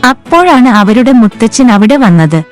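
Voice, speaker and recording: A woman narrates a scripted moral tale for children. Her voice sits fairly high, and soft background music plays behind her. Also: big pitch swings, moderate pace. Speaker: story narrator.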